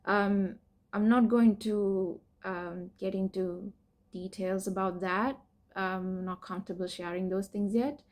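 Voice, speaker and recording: Young woman from Sri Lanka, personal vlog, hesitant and candid with fillers, mid-pitched voice. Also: steady tone, slow pace. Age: young adult. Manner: hesitant, many fillers and restarts, soft laughs. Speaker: PhD student sharing her cancer story.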